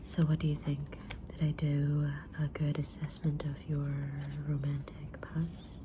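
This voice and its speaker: Kindly, enigmatic witch tarot reader acted by a woman, low hushed whisper, unhurried, for ASMR roleplay, over noticeable background noise. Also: completely flat delivery. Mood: calm, mysterious. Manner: slow, gentle, deliberate whispering with pauses. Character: kind, mysterious witch fortune teller, patient and sincere.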